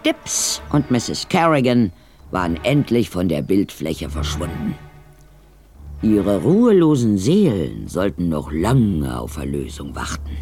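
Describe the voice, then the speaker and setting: A male narrator telling a scripted audio drama with theatrical storytelling. He is unhurried, with a mid-pitched, widely varied melody over faint music. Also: sing-song, a little mellow.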